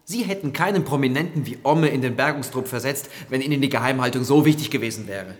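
An actor playing a persistent, confrontational prosecutor in a radio drama, questioning in a probing, insistent manner. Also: male voice, mid-range voice, fast talker, lightly acted. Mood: accusing. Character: persistent, confrontational male military-case prosecutor. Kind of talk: German radio drama dialogue.

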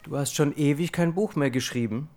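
An actor playing an enigmatic young man, a writer's imagined alter ego, quiet, probing and insinuating in a radio play. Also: a little high, brisk, lightly acted.

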